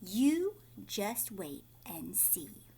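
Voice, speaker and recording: A woman voicing an exuberant, brisk, teasing male candy-factory owner in a storybook, with an emphatic, insistent manner. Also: mid-range voice, big pitch swings, warm tone, breathy edge, full character voice. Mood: brisk, curt.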